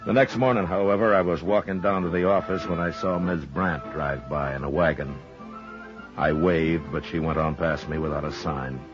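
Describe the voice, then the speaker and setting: An American actor playing a stoic, world-weary frontier marshal in a 1950s radio western, speaking in a measured, laconic way. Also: male voice, a bass voice, fairly even intonation. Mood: somber narration. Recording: dull old radio recording, faint music.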